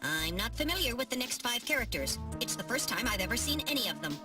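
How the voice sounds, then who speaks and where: A woman's voice: on the high side, sing-song, fast talker, far from the mic, music in the background. A woman voicing a small, prim, literal-minded robot sidekick in a video game, precise and dryly sardonic. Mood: matter-of-fact. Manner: precise, matter-of-fact, dryly teasing.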